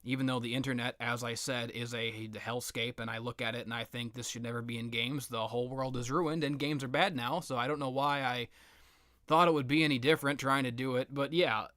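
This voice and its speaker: A man's voice: medium pitch, flat delivery, brisk, a bit bright, no echo, clean studio sound. A man doing casual, rambling video game commentary, sweary and self-deprecating, with a sardonic, sometimes theatrical way of talking. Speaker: gaming commentator.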